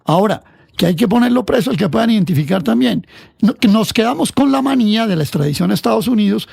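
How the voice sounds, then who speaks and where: A man commenting on a radio panel debate, measured and explanatory, citing figures to make his point. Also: high-pitched, expressive, creaky, darkish tone, breathy edge, no echo, clean studio sound.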